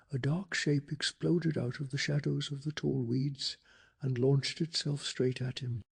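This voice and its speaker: A man reads a scripted story in a soft ASMR style. His voice sits slightly high for a man and is very breathy, with a thick crackle of fry running through it. The delivery stays calm, hushed and measured, made for falling asleep. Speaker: narrator of bedtime story recap.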